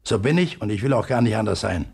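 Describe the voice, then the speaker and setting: A stern middle-aged father in a radio drama. His voice is low, very husky and creaky. He speaks tersely and deliberately, with unbending authority. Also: expressive, darkish tone, full character voice. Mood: firm, defiant. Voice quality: husky, gravelly. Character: stern, stubborn father and master of the household.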